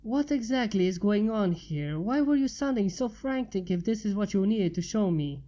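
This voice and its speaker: A woman's voice: mid-range voice, animated, moderate pace, warm tone, natural voice. A woman voicing a blunt, teasing, skeptical female friend in a story reading. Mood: sarcastic. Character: sarcastic, skeptical woman friend, easily alarmed.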